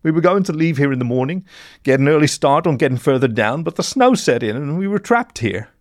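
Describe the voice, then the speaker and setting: A man's voice: on the high side, expressive, a little fast, no room sound, studio quality, voice pushed up, close to an everyday voice. A man voicing a nervous, tearful mole drug runner in a story, stammering and pleading. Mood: distraught, tearful. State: sobbing.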